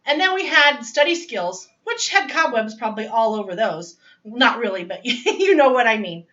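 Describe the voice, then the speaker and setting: A woman's voice: a little high, animated, moderate pace, a bit bright, distant mic, lo-fi sound. Chatty middle-aged woman telling her story. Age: 40s. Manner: chatty, laughs often, restarts phrases. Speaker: student giving a speech. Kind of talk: personal narrative speech. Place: home dining area.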